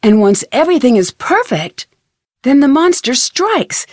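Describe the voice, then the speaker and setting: Young woman sleuth for a video game, friendly n curious, highish voice, super dry studio sound. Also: big pitch swings, unhurried, no echo. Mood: earnest, determined. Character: friendly, curious, plucky young female amateur detective. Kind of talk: video game voice acting, conversational.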